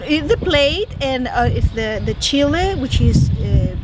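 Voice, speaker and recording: High-voiced Mexican woman on the street. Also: very animated, a little slow. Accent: Mexican Spanish. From northern Mexico. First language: Spanish. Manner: chatty, explains at length with restarts. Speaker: interviewee. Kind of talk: casual street interview. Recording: outdoor street noise.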